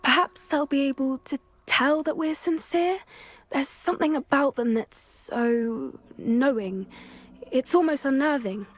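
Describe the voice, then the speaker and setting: A woman's voice: sits high, a little slow. A woman voicing an earnest, anxious teenage witch in a video game, polite and a little hesitant. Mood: uneasy hope. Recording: music behind the voice. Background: music.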